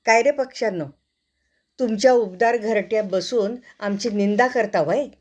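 A woman's voice: medium pitch, moderate pace, muffled recording, pitched above the performer's own voice, natural voice. A woman voicing short-tempered, rustic monkeys in a children's storybook, taunting and indignant. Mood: offended, indignant.

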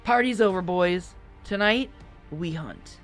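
A woman voicing a furious, cruel man leading a band of hunters, shouting and menacing. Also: fairly low pitch, expressive, slow pace, faint music behind. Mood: cold.

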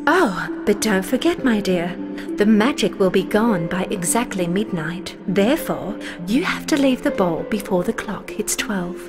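A woman voicing a kind, calm fairy mother who gently instructs and reassures in an animated fairy tale. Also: medium pitch, a little slow, music in the background, lightly acted. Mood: gently instructing.

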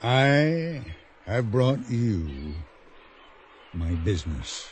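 An actor playing an expansive, boastful sailor spinning tall tales theatrically in a radio drama. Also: male voice, medium pitch, big pitch swings, drawn-out words, faint music behind. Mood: jovial, boastful.

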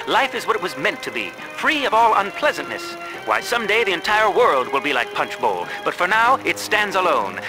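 An actor playing a pompous, idealistic billionaire giving a florid 1950s newsreel speech. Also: male voice, high-pitched, low-quality recording. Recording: music behind the voice.

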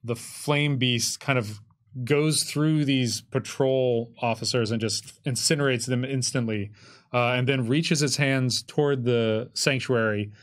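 A man in his thirties acting as game master, narrating a tabletop roleplaying game in a casual, halting way. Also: mid-range voice, steady tone. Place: indoor streaming studio with curtain backdrop. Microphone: desk microphone.

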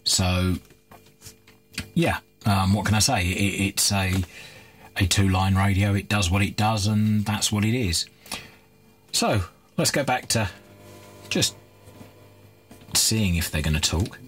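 A man's voice: on the low side, slow pace, long pauses, soft background music. A man in his thirties casually chatting while repairing an old radio at his cluttered electronics workbench, rambling with fillers and restarts.

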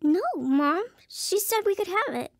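Timid, very high-voiced little girl. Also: lively intonation, unhurried, no echo, clean studio sound, heavily acted. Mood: meek, apologetic. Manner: meek, hesitant. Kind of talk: audio drama acting.

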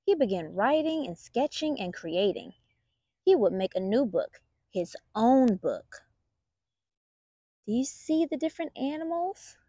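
A woman reads a picture book to children in a soft-edged, mellow voice. Her delivery is unhurried and very lively in pitch, with the deliberate phrasing of a storyteller. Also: dry recording, studio quality. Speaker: storytime host reading aloud.

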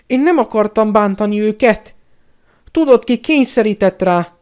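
A man's voice: high voice, lively intonation, darkish tone, clean tone, voice pushed up. A man voicing a cowardly, snivelling middle-aged traitor in an audiobook, whining and pleading in a wheedling, grovelling way. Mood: snivelling excuses. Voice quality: whining, whimpering. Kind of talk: audiobook character acting.

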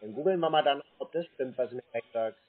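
A man with a husky voice, talking casually and confrontationally, blunt, insistent and sceptical. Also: medium pitch, fast, narrow, tinny audio. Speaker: scam baiter confronting a phone scammer. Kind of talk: casual confrontational phone conversation.

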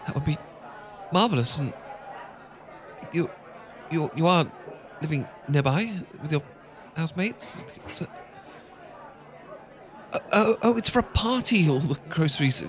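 A man's voice: a little high, expressive, unhurried, pauses often, some chatter behind, voice pushed up. A man with a British accent playing a flustered, smitten young English professor in a romantic audio roleplay, stammering and politely old-fashioned. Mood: delighted, shy. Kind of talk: romantic ASMR roleplay acting.

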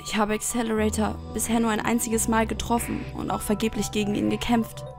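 Woman doing hot-tempered anime schoolgirl, high voice, pleading and emotional, music behind. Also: completely flat delivery, fairly quick, natural voice. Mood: grim, subdued. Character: anxious, hot-tempered teenage girl with psychic powers. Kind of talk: dramatic anime dub acting. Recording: fan dub with music underneath. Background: background music.

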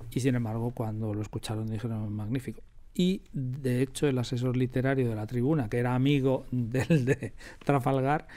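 A man's voice: medium pitch, darkish tone. A man with a Castilian Spanish accent, a radio drama creator answering conversationally as a studio interview guest.

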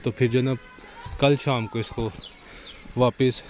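A man in his thirties speaks with a tinge, chatty and teasing as he explains chores to his viewers. He is outdoors on a village rooftop with a phone held at arm's length, and the audio picks up clear background noise. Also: medium pitch, steady tone, unhurried, muffled recording. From Pakistan. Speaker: village vlogger. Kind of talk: casual vlog narration to camera. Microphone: phone camera at arm's length.

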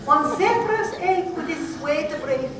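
A woman's voice: high-pitched, lively intonation, measured pace, a crowd in the background. A woman reciting old verse in a declamatory, rhythmic way at a live gathering. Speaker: performer at a recitation event. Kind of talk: recitation of Middle English verse. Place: indoor hall at a live gathering. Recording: very echoey room, distant, faint noise.

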